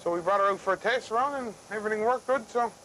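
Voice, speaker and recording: A man's voice: high voice, fast talker, pushed and bright, poor audio. A middle-aged man with a Newfoundland and Labrador accent, talking casually and plainly as a tugboat skipper. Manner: plain-spoken, understated. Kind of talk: casual, unscripted talk. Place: tugboat wheelhouse at sea. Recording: faint engine noise in wheelhouse.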